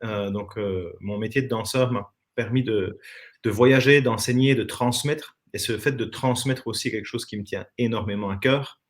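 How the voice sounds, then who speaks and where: A man's voice: fairly low pitch, moderate pace. A man from Belgium with a Belgian French accent, telling his story as a guest in a casual online interview over a video call, earnest and reflective. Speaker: interview guest, former professional dancer.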